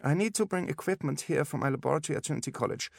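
Storybook German doctor, German accent, raised pitch, curt, impatient, haughty, animated delivery. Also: male voice, very fast, vocal fry, no room sound, studio quality. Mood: irritated, brisk. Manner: clipped, pompous, curt.

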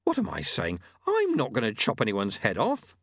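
A man's voice: fairly low pitch, wildly swooping pitch, normal speed, dry recording, clean studio sound, heavily acted. A man voicing an earnest young male apprentice monk who sounds anxious and incredulous. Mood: uneasy.

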